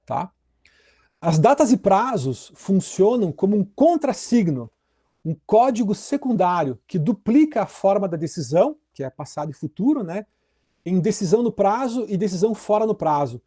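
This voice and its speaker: A man's voice: sits high, warm tone. A middle-aged man giving a law lecture, talking casually to his students and checking in with them often. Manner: conversational, addresses students directly with frequent tag questions. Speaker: law professor. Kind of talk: recorded lecture on legal theory.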